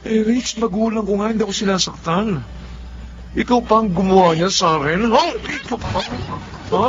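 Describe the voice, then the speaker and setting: An actor playing a selfish, hot-tempered young boyfriend in a radio drama, confrontational and blaming. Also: male voice, high voice, moderate pace, lightly acted. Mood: angry, defiant. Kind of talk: Tagalog radio drama acting. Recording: dull lo-fi audio.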